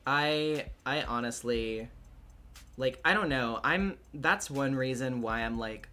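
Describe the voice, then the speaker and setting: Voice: medium pitch, slow pace, sharp tone. A livestreamer and voice actor with a male-range voice, chatting casually in a hesitant, thinking-aloud way.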